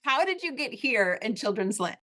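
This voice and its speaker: A woman's voice: fairly high pitch, sing-song, moderate pace, bright, forceful tone. A woman hosting a children's book podcast, speaking effusively and enthusiastically to her guest. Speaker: podcast host. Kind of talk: casual interview.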